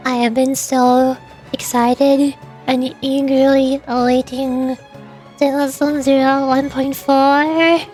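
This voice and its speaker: A woman's voice: high-pitched, level tone, slow, darkish tone, faint music behind. A tired, sleepy woman chatting casually and rambling on a livestream as a VTuber. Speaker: VTuber streamer and amateur voice actor.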